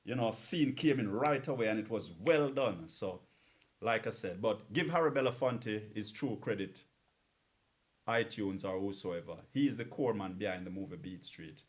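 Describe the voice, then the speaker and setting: A man's voice: lowish voice, moderate pace. Earnest male DJ repeating words for emphasis. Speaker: radio DJ. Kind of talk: casual talk between songs.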